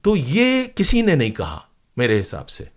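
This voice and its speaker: A man's voice: mid-range voice, huge pitch swings, a little slow. Earnest man telling stories. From India. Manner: earnest, emphatic, with rhetorical questions and repeated phrases. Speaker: interview guest, playwright and former radio broadcaster. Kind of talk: reflective interview storytelling.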